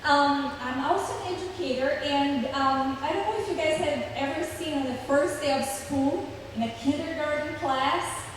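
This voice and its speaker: A woman from Brazil with a Brazilian Portuguese accent, giving an earnest personal testimony with frequent pauses. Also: sits high, a little hiss or hum. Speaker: church plant speaker. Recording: echoey hall, distant mic.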